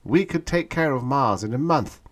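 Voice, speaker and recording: A man's voice: medium pitch, expressive, normal speed, pitched above the performer's own voice, natural voice. A man voicing a cocky young man in an audiobook story, talking in a brash, dismissive way in a raised voice.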